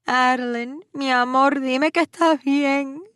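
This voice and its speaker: A woman's voice: on the high side, animated, normal speed, no room sound, voice pushed up, natural voice. A woman voicing a dramatic, scolding middle-aged mother who speaks with a thick Spanish accent, in a dry close recording. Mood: outraged. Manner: loud, scolding, theatrical. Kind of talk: audiobook character voice.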